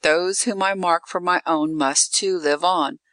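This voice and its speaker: A narrator voicing a calm, worldly female vampire who speaks with dry, possessive condescension. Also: mid-range voice, a little slow, no room sound, close to an everyday voice. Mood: coolly resigned.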